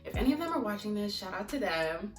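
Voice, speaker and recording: A woman's voice: mid-range voice, big pitch swings, fast talker. A young American woman giving a casual, candid vlog talk to her viewers, chatty and rambling as she goes. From United States (Maryland), teens in Mozambique. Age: young adult. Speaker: vlogger. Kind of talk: casual chat to camera. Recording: echoey room, distant mic, music behind.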